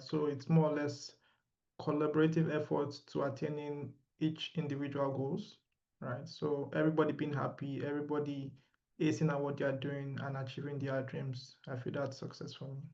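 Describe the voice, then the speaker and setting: Man, gravelly and monotone, dull lo-fi sound, upbeat panel guest. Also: fairly high pitch. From Nigeria. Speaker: program graduate. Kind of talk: panel discussion. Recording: dull lo-fi audio.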